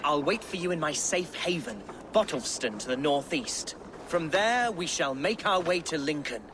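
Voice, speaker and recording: A man playing an earnest, pious young noble lord in a video game, with formal, high-flown speech. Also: lively intonation, normal speed, background noise, close to an everyday voice. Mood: courteous. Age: young adult. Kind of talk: dramatic video game acting.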